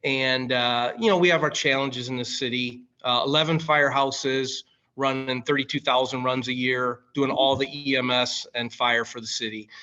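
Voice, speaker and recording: Bright-voiced American man in his forties. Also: medium pitch, fairly even intonation, normal speed, dull audio. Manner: colloquial, familiar, calls listener man and brother. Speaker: podcast guest, firefighter and coffee company director. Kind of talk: casual conversational storytelling.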